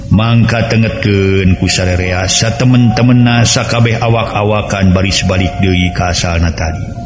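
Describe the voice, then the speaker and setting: A man's voice: low-pitched, moderate pace. A man with a West Javanese accent narrating a serial folk tale in a dramatic storytelling style. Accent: Sundanese-language region of West Java, Indonesia. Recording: roomy echo with music underneath.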